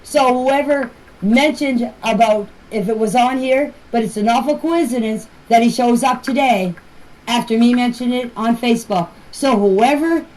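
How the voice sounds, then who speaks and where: A woman's voice: a little high, normal speed, darkish tone, poor audio. A woman talking casually and plainly, as if leaving voice messages for a group chat, in an amateur recording. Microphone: voice clips recorded for a group chat.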